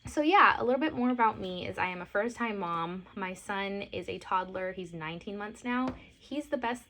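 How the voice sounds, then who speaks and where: A woman's voice: mid-range voice, animated, moderate pace, fairly bright tone. Tearful, gushing woman in her twenties. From born in Costa Rica, grew up in Miami. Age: 20s. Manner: emotional, gushing, heavy on fillers. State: teary. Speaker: lifestyle and mom vlogger. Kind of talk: casual talk to camera.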